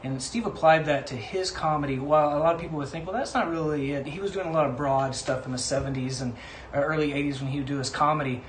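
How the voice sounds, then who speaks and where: A man's voice: on the high side, quick pace. A man giving a casual, personal testimonial as a guest contributor. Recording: echoey room with clear background noise.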